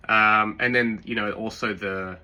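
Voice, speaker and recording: Man with a sore throat, low and bright. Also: measured pace. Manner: relaxed, rambling, many fillers and restarts. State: sore throat, occasional coughs. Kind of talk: casual advice talk.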